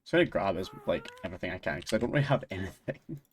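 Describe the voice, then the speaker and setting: A man's voice: deep voice, sing-song, very fast, a little hiss or hum. A man with a Scottish accent, chatting casually on a stream, rambling and laughing often. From Scotland. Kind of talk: casual chat while gaming and reading chat.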